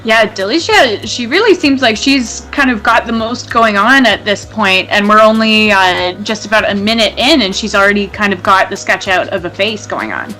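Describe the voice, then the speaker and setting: A woman with a bright, forceful voice commentates live on artists at work, upbeat and chatty. Her voice sits in a middle range. Light music plays faintly beneath her. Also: mid-range voice, lively intonation, normal speed, few pauses. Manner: upbeat, chatty, many fillers. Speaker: co-host and commentator.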